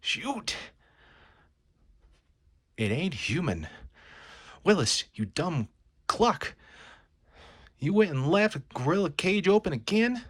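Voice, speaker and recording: A rough carnival henchman put on by a man in a comic-book tale. He barks slang excitably, his voice pushed up high and swinging widely. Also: takes it slowly, long pauses, no echo, heavily acted. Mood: alarmed.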